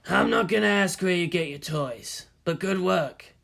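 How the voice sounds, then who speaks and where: A man's voice: high-pitched, a little slow, gravelly, a bit bright, exaggerated voice. A man voicing a laid-back, wry adult man who talks in a dry, musing way. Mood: amused.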